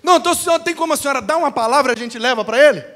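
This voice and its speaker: A man's voice: very high-pitched, rapid-fire, sharp tone. A man acting out an anxious, deferential royal messenger, pleading politely and hurriedly. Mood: deferential, urgent. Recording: long hall reverb.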